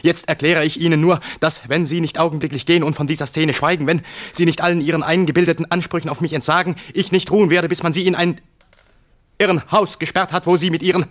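An actor in a German radio play, playing a stiff, respectable councillor who speaks with formal politeness and is quick to take offence. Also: male voice, a little high, steady tone, fairly bright tone, close to an everyday voice. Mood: indignant. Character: stiff, indignant, respectable legation councillor, male.

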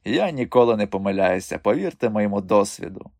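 A man's voice: lowish voice, animated, brisk, vocal fry, a little mellow, no room sound. A man voicing a gracious, self-assured president with a formal, pompous manner in a storybook.

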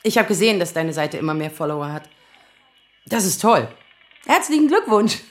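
An actress playing a flustered, easily agitated woman in her thirties in an audio drama, speaking haltingly and naturally. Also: fairly low pitch, sing-song. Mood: nervous, awkward. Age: 30s. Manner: halting, stammering, nervous laughs, quick to flare up. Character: flustered, agitated 35-year-old woman lawyer, daughter of Iranian exiles.

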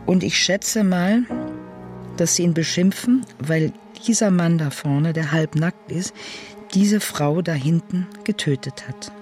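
A woman's voice: on the low side, unhurried, darkish tone, soft background music. A woman describing a picture spontaneously, in a hesitant, tentative and colloquial way.

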